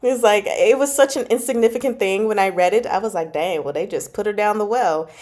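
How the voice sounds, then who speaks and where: A woman's voice: medium pitch, a little fast. Chatty, giggly woman on a livestream. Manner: giggly, animated, chatty, informal. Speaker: host of a book club channel and amateur audiobook narrator. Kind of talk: casual livestream chat, reading out and answering comments.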